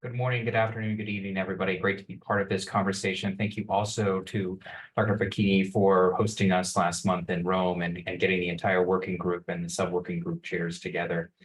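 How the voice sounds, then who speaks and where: A man's voice: sits low, level tone, brisk, low-quality recording. A man speaking as a working group co-chair in an online meeting, earnest and listing points with frequent ums. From United States. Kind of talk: opening remarks in an online meeting.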